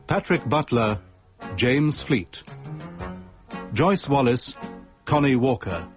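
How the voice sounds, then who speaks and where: Casting: male radio announcer for formal credits, unhurried, medium pitch, music bed. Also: lively intonation. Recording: slightly dull, music behind. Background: clear music.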